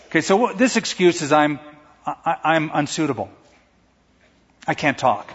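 A man preaching a sermon to a congregation in a conversational, animated way, sometimes acting out stammering quotes. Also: fairly high pitch, normal speed, breathy edge. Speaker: pastor. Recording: dull sound in a large reverberant hall.

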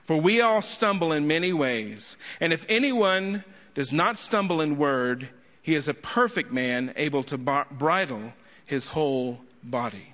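A man preaching an expository sermon to a congregation, speaking emphatically with rhetorical questions and deliberate pauses. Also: fairly high pitch, animated, fairly bright tone. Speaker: guest preacher and teacher. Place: large indoor church hall. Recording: echoey large hall, slightly muffled sound.

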